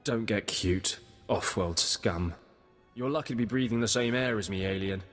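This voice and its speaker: An actor playing a bullying, haughty military sergeant in a video game, barking with contempt. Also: male voice, fairly low pitch, moderate pace, big-room sound, soft background music, natural voice. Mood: contemptuous.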